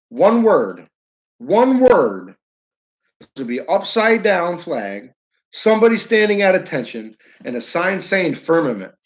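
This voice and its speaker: A man's voice: fairly high pitch, dull audio. Rambling northern New York man livestreaming. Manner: rambling, restarts sentences, talks to chat by name. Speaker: livestream host. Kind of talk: casual livestream chat with viewers. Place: his downtown building.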